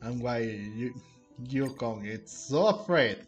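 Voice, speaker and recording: A man's voice: mid-range voice, expressive, slow pace, soft background music. A man streaming gameplay with casual commentary, musing aloud in hesitant, unfinished phrases.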